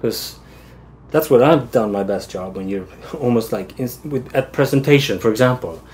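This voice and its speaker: A man's voice: mid-range voice, lively intonation, normal speed, darkish tone, slight background noise. A man from Sweden, an art director guest, talking thoughtfully and agreeably in an interview. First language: Swedish. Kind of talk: casual interview answers.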